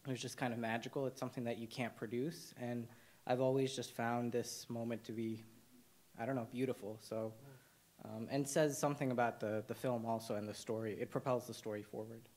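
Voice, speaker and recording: A young man from Nepal sits on a panel of filmmakers, speaking at an everyday mid pitch. He talks reflectively, with plenty of "um"s and restarts as he finds his words. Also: fairly even intonation, a little fast, a little mellow. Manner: hesitant, many fillers and restarts. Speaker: documentary cinematographer, panelist. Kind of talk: panel discussion.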